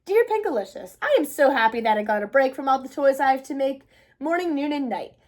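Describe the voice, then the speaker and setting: A woman's voice: sits high, lively intonation, a little fast, darkish tone. A woman voicing a cheery, overworked Christmas elf reading out a letter in a singsong manner. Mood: cheery, relieved.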